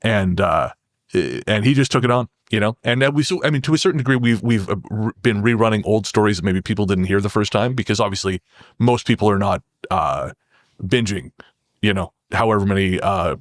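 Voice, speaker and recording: Male podcast guest, lowish pitch, halting conversational delivery, very dry sound. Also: no room sound. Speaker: podcast guest, horror fiction writer. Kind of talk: conversational interview talk.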